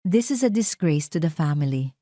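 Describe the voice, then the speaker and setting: A woman's voice: deep voice, expressive, normal speed, mellow, dry recording, clean studio sound. A woman voicing a haughty, irritated older mother in an audio drama. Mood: irritated, dismissive.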